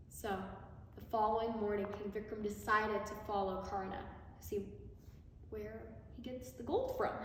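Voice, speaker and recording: Slow, high-voiced woman storyteller in a hall. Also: a bit bright. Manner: theatrical, deliberate pauses. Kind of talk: dramatic live folk tale storytelling. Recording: very echoey hall, far mic, noisy.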